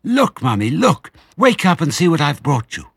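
A man voicing an excitable young boy fox in a storybook, breathless and spluttering with eagerness. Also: a little high, moderate pace, husky, no echo, studio quality, pitched above the performer's own voice, heavily acted. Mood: breathless excitement.

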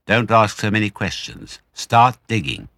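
Man as a crafty, husky father fox. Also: lowish voice, very animated, fairly bright tone, no echo, studio quality, voice pushed up, heavily acted. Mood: cheerfully commanding. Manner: assured, brisk, courteous, conspiratorial.

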